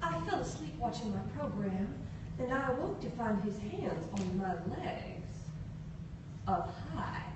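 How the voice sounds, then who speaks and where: A woman playing a fluttery, slow-witted sixteen-year-old Southern girl with a halting, dramatic delivery in a story reading. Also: on the high side, sing-song. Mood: fluttery, scandalized. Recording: echoey room from far off, noisy.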